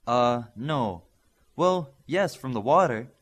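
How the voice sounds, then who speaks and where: A man's voice: mid-range voice, animated, slow. A man voicing a gracious, practical adult mother who hosts young superheroes in a storybook. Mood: dumbfounded.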